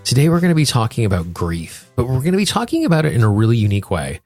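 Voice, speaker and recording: A man's voice: mid-range voice, huge pitch swings, rapid-fire, darkish tone, faint music behind. An American man hosting a solo podcast, explaining things and speaking directly to his listeners. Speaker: podcast host.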